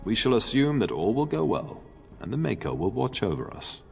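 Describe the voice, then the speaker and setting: An actor playing a middle-aged noble lord and father in a video game, fond but grave, speaking in a formal, measured way. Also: medium pitch, big pitch swings, vocal fry, breathy edge, heavily acted. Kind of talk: video game cutscene acting. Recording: long reverb, music underneath.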